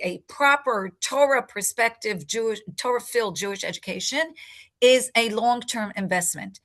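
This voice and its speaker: Gravelly older woman telling a personal story. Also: on the high side, a bit bright. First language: English. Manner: emotional, reflective, gravelly tone. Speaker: interview guest.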